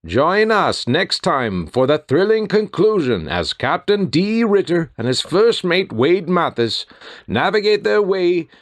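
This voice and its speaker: High-pitched theatrical male serial announcer. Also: very animated, measured pace, no echo, studio quality. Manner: theatrical, teasing rhetorical questions. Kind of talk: old-time radio serial announcing.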